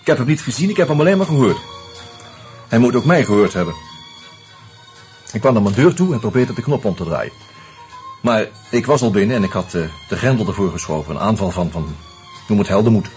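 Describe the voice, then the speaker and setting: An actor playing a sociable, teasing screenwriter in a Dutch radio drama, speaking in a conversational, hesitant, confiding way. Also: male voice, fairly low pitch, sing-song, fairly quick, long pauses, a little mellow, faint music behind. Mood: earnest, confiding. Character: sociable, teasing screenwriter sharing his suspicions over drinks.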